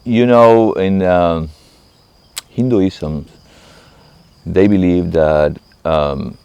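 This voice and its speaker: Very deep gravelly man with Argentine accent. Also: darkish tone, dull audio. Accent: Argentine Spanish. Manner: halting and thoughtful, pausing often to search for words. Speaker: monk, abbot of a monastery. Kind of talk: reflective spoken talk to camera.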